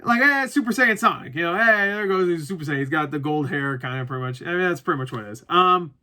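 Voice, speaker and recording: A man's voice: on the high side, animated, fast, bright, forceful tone. A man of thirty giving a casual, unscripted movie review as host, rambling conversationally and swearing casually.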